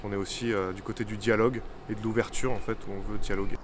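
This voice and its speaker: A man talks quickly in a casual, halting way, often restarting his words. He is recorded outdoors, with steady background noise clearly audible behind him. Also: fairly low pitch. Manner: hesitant, repeats words while talking. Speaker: marcher and organizer. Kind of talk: casual interview answers.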